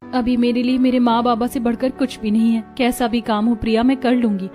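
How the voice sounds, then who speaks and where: Woman playing earnest young college girl, mellow, higher-pitched. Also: flat delivery, fairly quick, faint music behind, close to an everyday voice. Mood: earnest pleading. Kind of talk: Hindi cartoon story dialogue.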